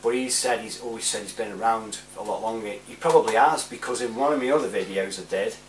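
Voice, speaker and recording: A British man in his forties chatting casually to camera in a laid-back, rambling way, giving shout-outs. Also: fairly low pitch, fast talker. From United Kingdom. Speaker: vlogger. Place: small room indoors at home. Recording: roomy, distant mic with faint hum.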